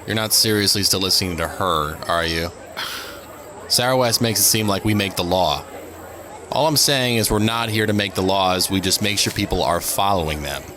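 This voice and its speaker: Low-voiced, reasonable patrol cop played by a man. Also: normal speed, crowd noise, close to an everyday voice. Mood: reasoning. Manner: questioning, conciliatory. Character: reasonable, cautious, hopeful male police patrol partner. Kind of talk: audio drama dialogue. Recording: noisy outdoor city street ambience. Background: urban outdoor ambience.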